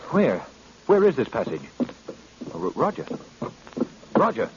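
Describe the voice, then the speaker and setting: An urgent, confident gentleman sleuth in a 1940s radio mystery. His mid-pitched voice moves in lively swoops, with clipped, theatrical diction. The old recording sounds dull. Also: a little slow, background noise. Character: urgent, assured gentleman detective. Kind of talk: 1940s radio drama acting.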